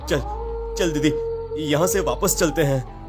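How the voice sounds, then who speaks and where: A man's voice: fairly high pitch, a little slow, music in the background, lightly acted. A man voicing a timid younger brother in a cartoon, with a hesitant delivery that repeats words. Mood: scared. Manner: hesitant, stammering repetition. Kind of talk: Hindi cartoon voice acting.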